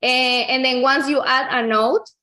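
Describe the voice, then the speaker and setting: A woman from Colombia, a native Spanish speaker with a Colombian accent, giving an informal software tutorial in a conversational, explanatory way, often repeating herself. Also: high voice, steady tone, measured pace. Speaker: real estate agent giving a software tutorial. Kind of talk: informal screen-share tutorial.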